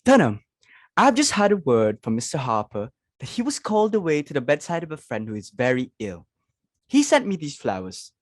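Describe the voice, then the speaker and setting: A woman's voice: low voice, very animated, normal speed, no echo. A woman playing a fussy, social-climbing society hostess and mother, exclaiming, fretting and giving orders in a dramatic reading. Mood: brisk, imperious. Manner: exclamatory, fretful, commanding.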